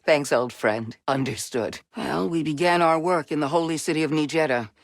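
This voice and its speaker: Wise, resolute female Jedi mentor for a sci-fi game: low, measured, recorded very dry. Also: dry recording. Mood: composed. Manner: measured, deliberate, earnest delivery. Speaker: video game voice actress. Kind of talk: cinematic game dialogue acting.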